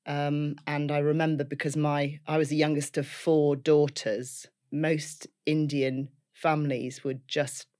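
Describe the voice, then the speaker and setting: A woman's voice: extremely low pitch, a little mellow. Chatty Liverpool woman, often laughing. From Liverpool, England. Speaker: guest. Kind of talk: casual life-story interview.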